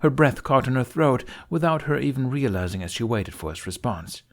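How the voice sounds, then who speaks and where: A man's voice: medium pitch, expressive, fairly quick. A man narrating fiction as an audiobook reader, in a dramatic storytelling style.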